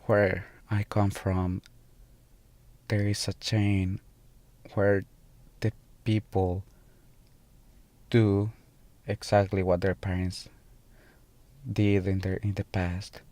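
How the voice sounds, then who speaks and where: A man's voice: sits low, little pitch movement, warm tone, no room sound. Earnest young man. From El Salvador. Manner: halting, earnest, pauses often mid-sentence. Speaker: student. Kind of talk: personal testimony in a documentary video.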